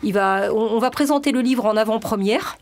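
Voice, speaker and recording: Hesitant woman, native Spanish speaker. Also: a little high. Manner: hesitant, many filler pauses. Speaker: guest, editor and translator. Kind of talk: radio interview.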